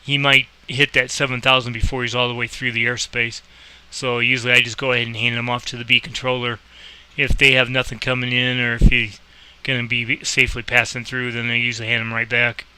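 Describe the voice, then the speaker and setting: Male game tutorial host, informal and rambling. Crisp, very forward tone, little melodic movement, switches into controller phrasing. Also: medium pitch, completely flat delivery, fairly quick, hardly any pauses, bright, forceful tone, rough recording. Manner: informal, rambling, thinking aloud. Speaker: host explaining a game and playing air traffic controller. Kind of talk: casual screen-recorded game tutorial with radio calls.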